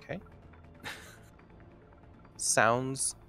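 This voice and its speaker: A man's voice: medium pitch, steady tone, extremely slow, vocal fry, sharp tone. A man speaking casually as a player in a tabletop roleplaying game. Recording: background music under the voice. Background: music.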